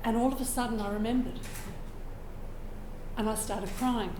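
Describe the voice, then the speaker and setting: Hoarse Australian woman telling a personal story. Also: on the high side, steady tone, moderate pace, roomy sound, background noise. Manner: earnest, reflective, frequent throat clearing. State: hoarse from a cold. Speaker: open mic storyteller. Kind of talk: personal story told to a live audience. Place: room with a live audience.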